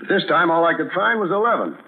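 An actor playing a scheming, cowardly killer in the style of 1940s radio drama. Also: male voice, a little high, expressive, quick pace, echoey room. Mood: nervous, obliging. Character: scheming male killer, cornered spider breeder, cowardly when threatened. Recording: tinny, noisy 1940s radio recording.

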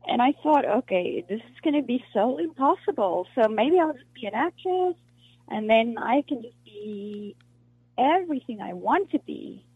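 A woman from South Africa, an actress being interviewed, talking in a halting way with many restarts and fillers. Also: sits high, very animated, gravelly, slightly rough, no echo. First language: Afrikaans. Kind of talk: casual interview conversation. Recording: muffled lo-fi dry recording.

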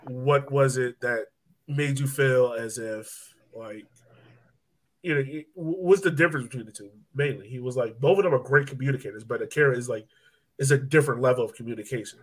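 A man's voice: medium pitch, brisk, rough recording. A man hosting a soccer podcast, talking casually and conversationally, often saying "like" and "you know". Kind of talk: casual sports talk.

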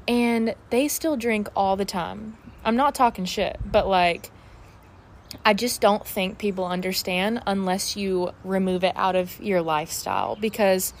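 A woman's voice: mid-range voice, measured pace. A twenty-one-year-old woman talks openly and casually to her listeners, leaning on "like" and "uh" as she goes. She sits outside in her backyard, with faint background noise around her voice. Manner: chatty and candid, many fillers like "like" and "uh". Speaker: podcast host. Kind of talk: casual solo podcast chat.

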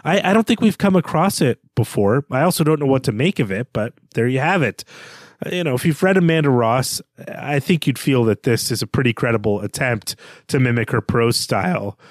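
Dude analyzing a novel on a podcast, measured talk, dry close sound. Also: male voice, mid-range voice, lively intonation, dry recording. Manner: measured, analytical, slowing for read-aloud passages. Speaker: podcast co-host. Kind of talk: casual literary discussion.

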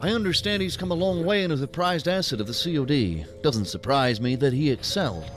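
An actor playing a deferential, uneasy agent in a sci-fi audio drama, speaking formally and haltingly. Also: male voice, fairly high pitch, lively intonation, soft background music, natural voice. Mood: uneasy, careful. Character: deferential, uneasy male agent and task force leader. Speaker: subordinate law-enforcement agent.

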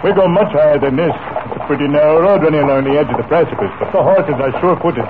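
A man's voice: a little high, fast talker, natural voice. A man playing a proud, hearty Western rancher with a folksy, emphatic manner in 1940s radio drama style. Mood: hearty, reassuring. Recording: scratchy, tinny 1940s radio recording.

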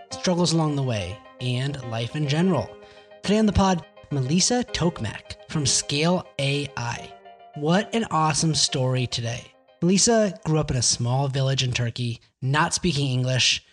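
Upbeat podcast host man, faint music. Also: fairly high pitch. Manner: upbeat, asks long rambling questions. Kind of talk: podcast intro and interviewing.

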